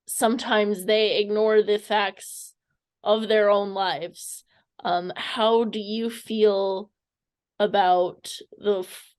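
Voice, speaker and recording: A woman interviewing someone in a conversational way, feeling her way through sentences with hesitant, halting phrasing. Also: medium pitch. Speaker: interviewer, fan club member.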